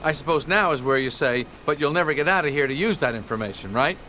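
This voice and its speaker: Bright, wisecracking cartoon bee. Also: male voice, on the high side, lively intonation, fast, a little hiss or hum. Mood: sardonic. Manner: sardonic, conversational, exclaiming. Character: wisecracking, chatty, excitable young male bee. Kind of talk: animated game cutscene acting.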